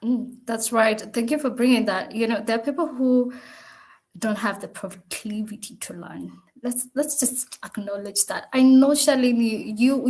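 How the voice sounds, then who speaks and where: A woman hosts a panel discussion over a video call, her voice sitting at an ordinary middle pitch. She speaks in an encouraging way and steers the talk with short prompts to each guest. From Kenya. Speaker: panel moderator. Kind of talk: moderating an online panel.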